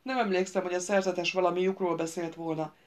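A woman's voice: steady tone, close to an everyday voice. A woman voicing a whiny, indignant young man. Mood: indignant whining. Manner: complaining, shrill and agitated. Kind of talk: audiobook character voice.

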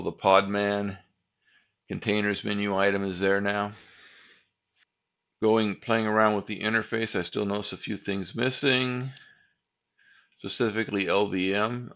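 A man's voice: fairly low pitch, fairly bright tone, dull audio. An older man narrating a screen-recorded server tutorial, explaining each step in a plain, methodical way. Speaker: tech tutorial host.

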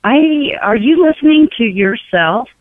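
A woman's voice: fairly high pitch, expressive, unhurried, dry recording. A middle-aged or older woman on the telephone, talking in a firm, questioning and incredulous way. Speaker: prank call target, grandmother. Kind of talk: phone conversation. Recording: tinny dry phone line.

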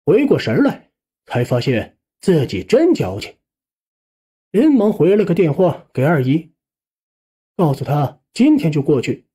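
Husky male storyteller with very animated pitch. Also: a little slow, pauses often. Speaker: audiobook narrator. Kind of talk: first-person suspense storytelling, scripted narration.

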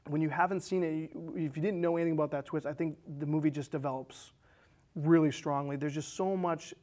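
A man in his thirties with a slightly high voice chats about a film. He talks casually and haltingly, doubling back on words as he works out what he means. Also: fairly even intonation, mellow. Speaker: film discussion show co-host. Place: small indoor studio set with curtain.

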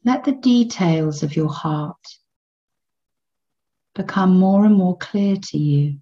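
A woman guiding a children's meditation in a gentle, soothing manner, in measured phrases with long pauses between them. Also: fairly low pitch, animated, muffled recording. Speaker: meditation guide.

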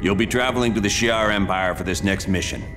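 An actor playing a commanding male spy chief who gives a brisk, authoritative briefing. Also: deep voice, fairly bright tone, faint music behind.

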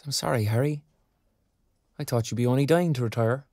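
A young Irish graduate, played by an actor, chatty and good-natured. He talks in an everyday, colloquial way with friendly concern, in a medium-pitched male voice. It is an audio drama with a very dry sound. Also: fairly quick, mellow, no echo, lightly acted. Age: young adult. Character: kindly, chatty young job-seeking graduate.

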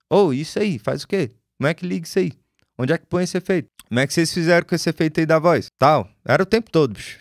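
A man in his forties with a Brazilian accent, a music producer telling stories casually to his live viewers, slangy and animated, on a studio mic in a small home studio. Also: a little high, no room sound. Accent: Brazilian Portuguese, lost Goiás accent. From Goiás, Brazil. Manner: animated storyteller, slangy, swears. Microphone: studio mic on boom.

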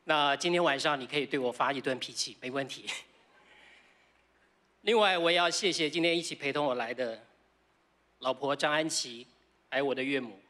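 A man's voice: medium pitch, normal speed, long pauses, sharp tone. A man in his fifties with a Taiwanese accent, giving an award acceptance speech into a stand microphone on a large stage. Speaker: award winner.

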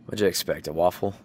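Weary, sardonic single dad. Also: male voice, low-pitched, a little hiss or hum. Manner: sardonic, defensive, swears. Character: weary single father, 30s, gruff but caring.

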